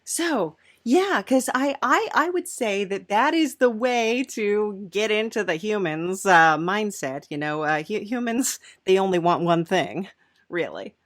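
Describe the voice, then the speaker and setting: A woman's voice: mid-range voice, animated, a little slow. A woman hosting an improvised comedy interview, speaking hesitantly in trailing phrases. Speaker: podcast host.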